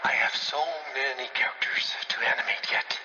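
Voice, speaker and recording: An actor playing a manic, giddy animator obsessed with his craft, theatrical and breathy, in a horror game audio log. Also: bright, forceful tone, roomy sound, in a whisper, far from the mic, highs cut off. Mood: giddy, unhinged. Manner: theatrical, intense, slow savoring delivery.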